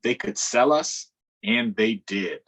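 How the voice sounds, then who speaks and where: A man's voice: on the low side, slow pace, breathy edge. A man hosting a virtual storytime, reading a picture book aloud to children and stopping to ask them questions. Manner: expressive, asks the children questions.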